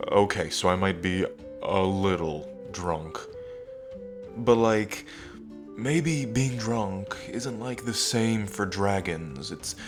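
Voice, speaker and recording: A man playing a cocky yet tender young dragon king in his twenties, speaking casually and hesitantly to the listener in an intimate ASMR roleplay. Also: low voice. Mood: tipsy, playful. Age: 20s. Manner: casual, hesitant, trailing phrasing.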